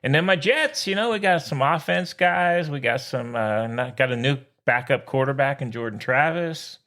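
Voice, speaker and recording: A man's voice: medium pitch, lively intonation, normal speed, a bit bright. A man co-hosting a sports podcast in casual banter, with an informal, exclamatory, slangy way of talking.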